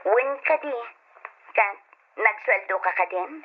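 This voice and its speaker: An actress with an Ilocano accent playing a bossy, stingy aunt with a sharp, commanding manner in a radio drama. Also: female voice, mid-range voice, slow. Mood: reassuring, smooth. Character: bossy, stingy aunt scolding her young wards. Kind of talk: Ilocano old-time radio drama acting. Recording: dull lo-fi old radio drama recording.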